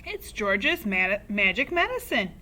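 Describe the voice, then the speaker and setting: A woman reading a children's story aloud, voicing a selfish, bossy old grandmother who cackles and shouts. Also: mid-range voice, very animated, measured pace, exaggerated voice. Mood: gloating.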